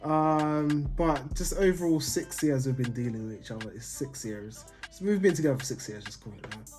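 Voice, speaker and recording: A relaxed young man from Jamaica talks easily through a casual Q&A with his partner. He laughs often and lets his sentences fade into mumbles. Light music runs underneath. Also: mid-range voice, moderate pace. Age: young adult. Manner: laid-back, laughing, trailing off quietly. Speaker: vlogger, new father. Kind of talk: casual couple's Q&A chat.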